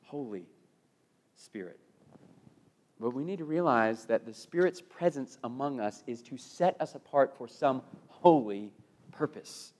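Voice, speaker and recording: A middle-aged American man preaching a sermon, emphatic and given to repeated phrases, moving between loud proclamation and hushed delivery. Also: mid-range voice, lively intonation, measured pace.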